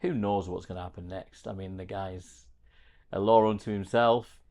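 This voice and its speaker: A man's voice: low voice, fairly even intonation, moderate pace, dark tone. A man hosting a podcast in casual interview talk, hesitant and rambling as he circles around his questions. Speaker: podcast host.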